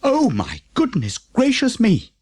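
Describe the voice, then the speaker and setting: A man's voice: very high-pitched, huge pitch swings, slow, a little mellow, husky, pitched above the performer's own voice, heavily acted. A man voicing a timid, polite, helpful postman with a small, hesitant voice in a children's audiobook. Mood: amazed. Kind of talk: audiobook character acting.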